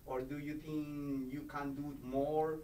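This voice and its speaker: A male radio reporter asking wordy, halting questions at a press conference. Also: on the high side, flat delivery, mellow, far from the mic, soft background music. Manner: halting, wordy questions in non-native phrasing. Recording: roomy sound from off mic.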